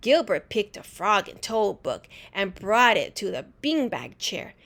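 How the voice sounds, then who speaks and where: A woman reading a children's storybook aloud in an expressive, deliberate teacher's way. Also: medium pitch, creaky. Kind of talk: animated storybook read-aloud.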